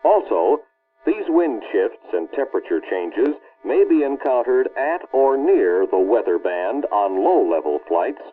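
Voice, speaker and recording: An American man reads scripted instructional narration for an early 1960s military film in a formal, authoritative, measured way. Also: medium pitch, big pitch swings, breathy edge, soft background music. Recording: muffled, band-limited old film soundtrack.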